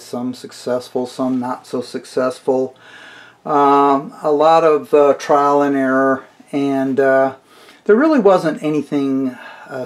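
A middle-aged man giving an informal, unscripted explanatory review as a hobbyist, in a plain-spoken, conversational way. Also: medium pitch.

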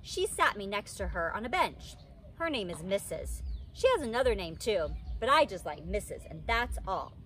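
A woman's voice: medium pitch, normal speed. A young woman reading a children's book aloud like a teacher, expressively acting out the characters. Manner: animated, acts out the characters. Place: outdoors under a tree, in a wicker pod seat. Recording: outdoor background noise.

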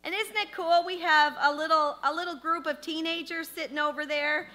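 Bright-voiced woman pastor at a pulpit. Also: very high voice, fairly even intonation, moderate pace. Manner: fervent in prayer, chatty and laughing in announcements. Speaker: pastor leading the service. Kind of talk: prayer and church announcements. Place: church sanctuary stage, at a wooden pulpit. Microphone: handheld microphone.

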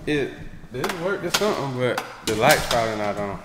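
A man's voice: medium pitch, lively intonation, brisk. A man chatting casually with his family while walking through an empty house, in an echoey, noisy recording. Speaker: father touring a house with family. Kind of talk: casual chat. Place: indoors, empty house rooms. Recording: echoey empty rooms, loud handheld camera noise.

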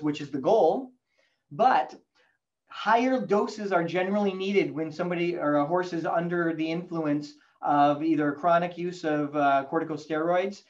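Fluent lecturing man on a dull call. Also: a little high. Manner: fluent, lecturing, animated gestures. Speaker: guest expert on a webinar. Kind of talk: technical explanation. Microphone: video call webcam.

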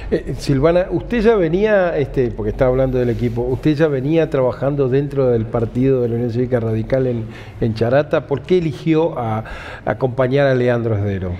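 A man's voice: mid-range voice, a little fast, mellow. Man, TV interviewer, hesitant questions, reverberant studio, dull faint hiss. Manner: hesitant questions, frequent backchannel.

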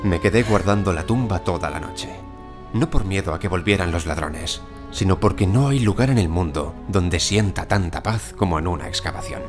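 A male actor playing a self-assured, courteous gentleman archaeologist in an audio drama, formal and precise. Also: low voice, normal speed, natural voice. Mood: reflective. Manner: formal, polite, unruffled, precise. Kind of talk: audio drama acting and first-person narration. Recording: music behind the voice.

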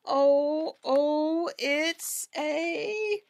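A high, excitable storybook cat played by a woman, young, male and easily spooked. He exclaims everything with wide-eyed drama in a raised pitch. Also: drawn-out words, vocal fry, exaggerated voice. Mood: alarmed.

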